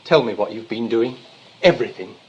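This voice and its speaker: An actor playing a temperamental, lovesick young upper-class English gentleman in a stage-style comedy, gushing and petulant by turns. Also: medium pitch, animated, brisk, slightly rough, highs cut off. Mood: sulky. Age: young adult.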